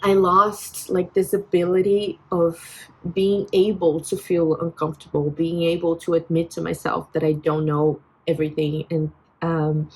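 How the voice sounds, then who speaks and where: Slow, hesitant Brazilian woman in her twenties. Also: fairly low pitch, level tone, darkish tone, breathy edge, poor audio. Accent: Brazilian Portuguese. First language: Portuguese. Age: 20s. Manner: hesitant, reflective, many fillers and restarts. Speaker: vlogger. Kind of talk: personal venting vlog. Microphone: phone.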